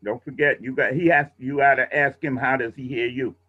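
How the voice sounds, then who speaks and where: A man's voice: mid-range voice, fairly even intonation, fairly quick, husky. A man coaching a radio class informally, explaining and correcting himself as he goes, heard through dull remote audio.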